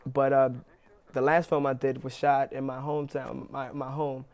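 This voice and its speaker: A young man, an actor being interviewed, talking casually with lots of restarts and fillers. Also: medium pitch. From New York, Inwood. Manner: relaxed, halting with many restarts and fillers.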